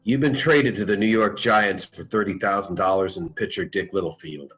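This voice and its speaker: An actor playing a businesslike baseball general manager in a dramatic script reading. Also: male voice, deep voice, normal speed, a bit bright. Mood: businesslike, regretful. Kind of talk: dramatic table read. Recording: muffled lo-fi sound, music behind.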